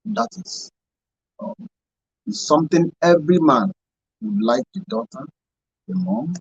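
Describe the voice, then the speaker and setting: A man's voice: a little high, very animated, unhurried, gravelly, breathy edge. A man speaking as a guest in a radio discussion, hesitant and searching for words. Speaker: panel guest. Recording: dull lo-fi dry recording.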